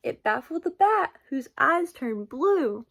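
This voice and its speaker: A woman reading a children's picture book aloud in a deliberate, expressive way, with sing-song emphasis. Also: sits high, gravelly. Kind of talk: children's storybook read-aloud.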